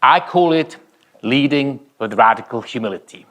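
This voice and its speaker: A man's voice: mid-range voice, lively intonation, moderate pace, a bit bright. A man from Switzerland with a Swiss-German accent, delivering a rehearsed motivational keynote in dramatic, emphatic short phrases. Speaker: keynote speaker.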